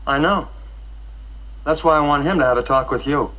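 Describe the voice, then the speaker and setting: A man's voice: a little high, normal speed, natural voice. An actor playing a worried, well-meaning man seeking help for his friend in a 1960s educational film. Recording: dull 1960s film soundtrack with music.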